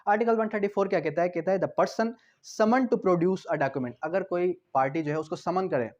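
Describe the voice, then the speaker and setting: A man's voice: high voice, moderate pace. A man giving an explanatory law lecture in a didactic way, repeating points, asking rhetorical questions and switching between two languages as he reads out and paraphrases. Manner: switches between Hindi and English. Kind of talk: explanatory lecture, reading out legal articles and paraphrasing them. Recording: dull, lo-fi sound.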